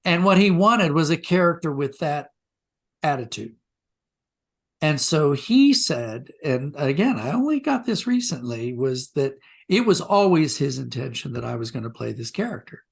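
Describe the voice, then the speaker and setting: A man's voice: a little high, animated, normal speed, gravelly. An older man with an American accent telling anecdotes conversationally as an interview guest, reflective and self-deprecating.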